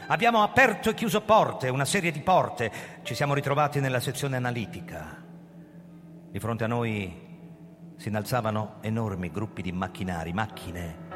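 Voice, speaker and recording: In a long hall reverb over music, an ageing, proud founder of a crime-prevention agency. His low male voice tells the story in deliberate, dramatic phrases, guarded and quick to defend his position. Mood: sombre. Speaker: actor narrating and playing the lead. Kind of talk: theatrical radio drama with first-person narration.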